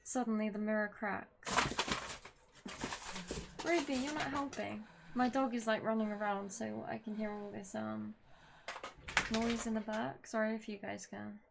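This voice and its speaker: A woman's voice: medium pitch, fairly even intonation, a little slow, vocal fry, pauses often, heavy background noise. A young woman playing a horror game at home, chatting casually, swearing now and then and reading the story text aloud. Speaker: gaming video creator. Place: at home with her dog.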